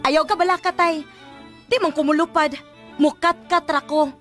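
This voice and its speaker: Sassy poor cebuano girl in a radio drama, super high sharp voice, brash n defiant, bit of music behind. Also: fairly even intonation, moderate pace. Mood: determined, cheeky. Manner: brash, combative, emphatic. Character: feisty, ambitious poor young woman, defiant and sassy. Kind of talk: Filipino radio drama acting.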